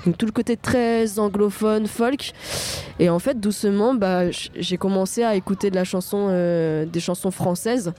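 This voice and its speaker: A woman's voice: mid-range voice, mellow. A young woman from France, a singer-songwriter answering radio interview questions, hesitant with many fillers and restarts.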